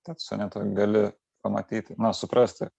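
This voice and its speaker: A male interpreter with a low, gravelly voice who works through each phrase at a brisk clip. The sound is dry and dull, with the narrow lo-fi quality of call audio. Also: level tone, a little mellow, no echo. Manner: quick, plain rendering of each phrase. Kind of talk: consecutive translation of a lecture.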